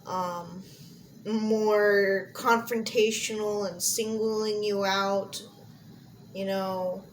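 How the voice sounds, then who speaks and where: A woman's voice: mid-range voice, fairly even intonation, drawn-out words, poor audio. A young American woman from Montana giving candid, informal answers as an interviewee, thinking aloud as she goes. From Montana, United States. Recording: clear background noise, amateur audio log.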